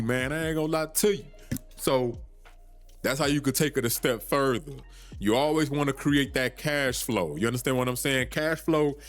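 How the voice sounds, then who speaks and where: A man's voice: mid-range voice, fairly bright tone. A man in his early thirties hosting a podcast, giving casual money advice in a loose, slangy way, with many fillers and restarts. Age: 30s. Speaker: podcast host. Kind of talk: casual finance talk.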